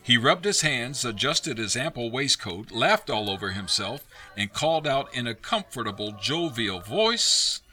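A man narrating a radio play as scripted dramatic storytelling, expressive and theatrical. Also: medium pitch, unhurried, few pauses, exaggerated voice.

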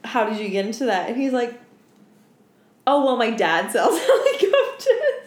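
A woman chatting casually as a podcast co-host, telling stories in an animated, slangy way and often laughing. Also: on the high side, normal speed. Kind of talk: casual chat, storytelling. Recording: roomy, echoey room.

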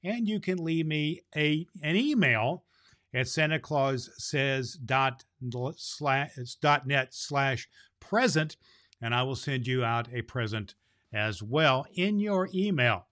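A man hosting as Santa Claus, reading a children's storybook aloud in a gentle, jolly way and sometimes stumbling over words. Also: medium pitch, lively intonation, slow.

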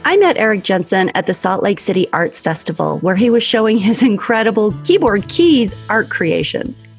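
A woman's voice: medium pitch, big pitch swings, moderate pace, faint music behind. A woman hosting a podcast, reading an upbeat introduction and then interviewing her guest with enthusiasm. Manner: enthusiastic, warm praise with laughter. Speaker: podcast host.

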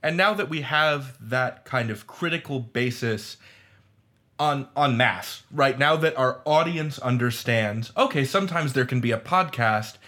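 A man with a bright, forceful voice at a middle pitch takes part in a roundtable discussion. He talks articulately and leans on academic vocabulary. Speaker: roundtable panelist. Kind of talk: discussion of audio fiction criticism.

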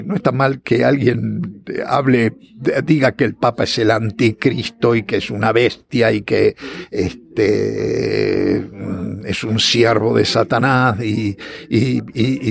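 A middle-aged man, answering interview questions as a historian in a lecturing way, often pausing on filler words. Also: medium pitch, gravelly. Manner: lecturing, with frequent "este" and "eh" fillers and restarts.

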